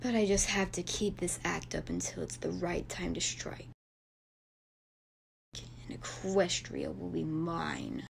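Woman as gravelly, sullen, scheming villainess. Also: lowish voice, steady tone, slow, a little hiss or hum, full character voice. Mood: sinister. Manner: weary, menacing drawl. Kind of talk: animated fan audio drama.

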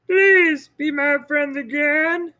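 A man voicing an anxious, eager male toad, high and pleading, in a storybook read-aloud. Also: steady tone, takes it slowly, bright, forceful tone, heavily acted.

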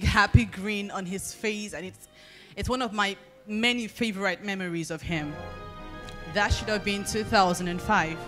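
A young Nigerian woman with a Nigerian accent performing spoken word poetry at a podium, with measured, expressive pacing and deliberate pauses. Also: mid-range voice. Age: young adult. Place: large banquet hall at a podium. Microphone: handheld microphone.

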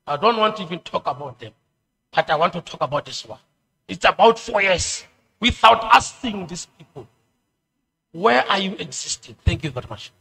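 A community member at a podium mic, pressing pointed questions and repeating words for emphasis. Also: male voice, high voice, animated, normal speed, gravelly, sharp tone. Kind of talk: public hearing comment. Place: community hall. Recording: long hall reverb.

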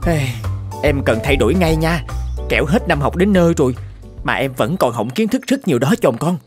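A man's voice: fairly high pitch, animated, brisk, soft background music, lightly acted. A man voicing an earnest woodpecker schoolteacher in a children's cartoon, instructive and chiding. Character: earnest male woodpecker schoolteacher, concerned and admonishing.

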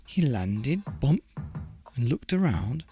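A man narrating a children's storybook in a theatrical, deliberate way as a bedtime podcast host. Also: medium pitch, very animated, mellow, faint music behind.

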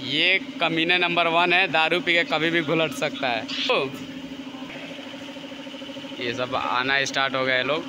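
An Indian man with a fairly high voice talks informally as he shows a workshop around for a vlog. He tends to repeat himself in simple phrases. The handheld phone recording has clear background noise. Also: steady tone, slow, pauses often. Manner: informal, repetitive narration. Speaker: vlogger showing around a factory. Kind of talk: casual walk-through vlog commentary. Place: large workshop and its yard.

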